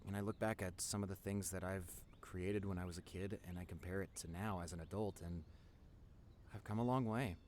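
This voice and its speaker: A man's voice: low voice, steady tone, fairly quick, darkish tone, natural voice. Man acting as nerdy young boyfriend over background noise. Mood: earnest, wistful. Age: young adult. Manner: nervous stammering, earnest, rambling. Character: nerdy introverted young boyfriend, wacky, tender, passionate artist. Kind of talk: intimate boyfriend audio roleplay.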